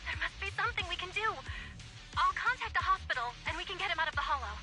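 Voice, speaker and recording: Tiny, very high-pitched earnest companion. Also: female voice, animated, fast, over music. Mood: urgent. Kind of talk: game voice acting.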